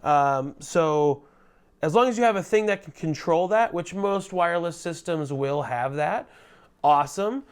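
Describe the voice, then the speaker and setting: A loud, chatty man giving a casual product tutorial, speaking into a lavalier mic clipped under his shirt. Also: fairly high pitch, measured pace, smooth. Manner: loud, chatty, self-deprecating asides. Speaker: gear reviewer.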